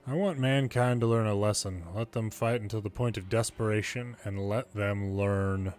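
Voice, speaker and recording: A man's voice: on the low side, steady tone, normal speed, darkish tone, faint music behind. A man reading video game dialogue aloud in English as the narrator of a longplay.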